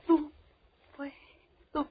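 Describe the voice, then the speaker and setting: A woman's voice: takes it slowly, lightly acted. An actress playing a terrified young woman held captive, pleading hysterically in 1930s radio horror style. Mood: frightened pleading. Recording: muffled, noisy 1930s radio recording.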